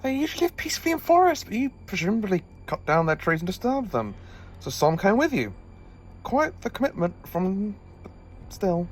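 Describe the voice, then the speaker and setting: An eccentric alien time traveler, acted by a man in a sci-fi audio drama, with a very lively, swooping pitch. He is inquisitive and excitable, sometimes hesitant as he thinks aloud. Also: normal speed, slight background noise. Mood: exasperated.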